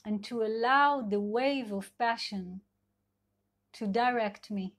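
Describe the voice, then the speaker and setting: A middle-aged woman with an Israeli accent, a sex and couple therapist whose first language is Hebrew, giving a live online talk in a gentle, searching way with many fillers. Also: on the high side, slow. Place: at home in Israel.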